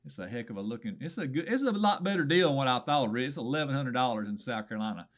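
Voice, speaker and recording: A man's voice: mid-range voice, expressive, fast talker. A man calling in to a small-town radio swap shop, rambling in a folksy way and calling the host brother. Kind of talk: comedic call-in segment reviewing listings.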